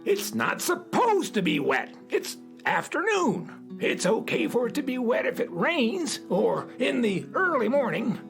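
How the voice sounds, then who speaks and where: A woman voicing a grumpy, scolding old male sun in a children's radio play, creaky and indignant. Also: a little high, wildly swooping pitch, a little slow, hardly any pauses, music in the background, exaggerated voice.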